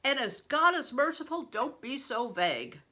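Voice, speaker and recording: A huge, shrewd medieval wanderer played by a woman reading a storybook blusters in old-fashioned speech. The delivery is loud, bright and slightly rough, with big swoops and slow, theatrical emphasis. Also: big pitch swings, heavily acted. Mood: scornful, loud. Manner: booming, theatrical, interrogating in archaic speech.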